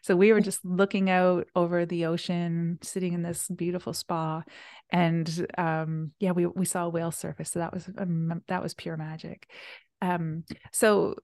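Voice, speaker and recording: Gravelly low-voiced woman, chatty. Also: little pitch movement, a little slow, no room sound. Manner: chatty, enthusiastic, trails into soft near-whispers. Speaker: retreat host. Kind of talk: casual conversation.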